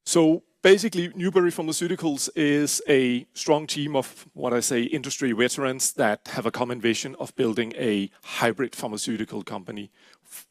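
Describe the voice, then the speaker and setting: A man's voice: medium pitch, no room sound. A middle-aged Danish man, speaking English with a Danish accent, presenting his company at an investor event in a businesslike way. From Denmark. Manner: businesslike, steady with frequent uh fillers.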